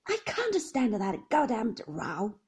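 A woman's voice: a little high, wildly swooping pitch, takes it slowly, a little mellow, breathy edge, full character voice. A woman voicing a shaken, sneering man who speaks jerkily and mockingly. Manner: jerky, bitter, mocking.

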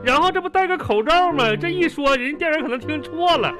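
A man's voice: very high voice, lively intonation, fast. A man playing a hapless, teasing middle-aged husband in a comedy radio drama, blustering through excuses with a Northeastern Chinese accent. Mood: flustered pleading. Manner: blustering, folksy, excuse-making. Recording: music behind the voice. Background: background music.

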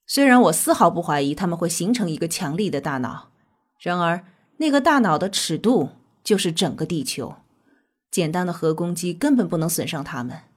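A woman playing a calm, earnest scientist, explaining in a measured way in an audio drama. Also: on the low side, husky. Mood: grave, insistent. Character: calm, earnest female scientist with mystical faith.

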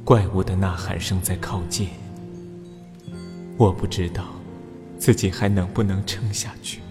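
A man's voice: sits low, fairly even intonation, measured pace. A man playing a doomed young warrior in an audio drama monologue, regretful and in love, his voice echoing over music. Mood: regretful, desperate. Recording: long reverb, music under the voice.

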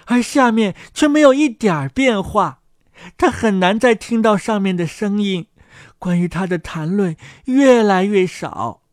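A woman's voice: medium pitch, a little mellow, no room sound, studio quality. A woman giving a scripted narration of a fairy tale, as a storyteller.

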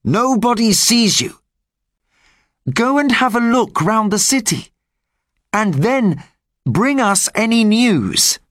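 A man reading aloud and voicing a brisk young student leader giving orders in a raised voice. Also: sits high, animated, fairly bright tone, no room sound, studio quality, pitched above the performer's own voice, exaggerated voice. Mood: commanding.

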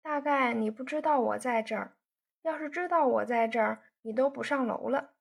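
A woman voicing a proud young woman who pouts and answers her suitor with sharp retorts. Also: fairly high pitch, moderate pace, vocal fry, husky, voice pushed up. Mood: sulky.

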